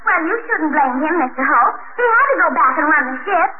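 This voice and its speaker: A woman's voice: high-pitched, lively intonation, a little fast. An American woman playing a coy, naive, cheeky gal past her youth in 1940s radio comedy banter. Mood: teasing. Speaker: comedienne in stage sketch. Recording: noisy, tinny old radio recording.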